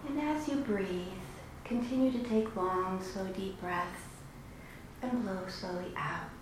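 A woman guiding a meditation in a slow, soothing, instructive way with long pauses. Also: medium pitch. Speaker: meditation guide. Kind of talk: guided meditation. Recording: very echoey room, clearly noisy background.

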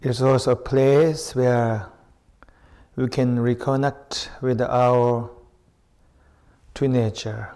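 A man leading a guided meditation as a teacher, speaking in short, unhurried phrases with long pauses between them. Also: medium pitch, steady tone, warm tone, clean tone. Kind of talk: slow guided meditation with spoken reflections.

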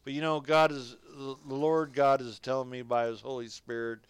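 A man's voice: mid-range voice, level tone, normal speed, smooth, no echo. A man preaching a radio sermon, reading scripture and exhorting listeners directly in folksy, colloquial phrasing.

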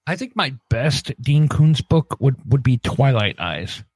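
A man's voice: mid-range voice, big pitch swings, measured pace, a little mellow, husky, dry recording. A man co-hosting a podcast, talking in a casual, conversational way. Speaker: podcast co-host. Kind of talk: casual chat.

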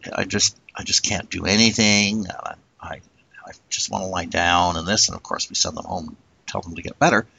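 A man co-hosting a casual discussion, talking in an earnest, reflective way and slowing down to stress his points. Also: deep voice, steady tone, vocal fry, sharp tone, husky, low-quality recording. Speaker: podcast co-host.

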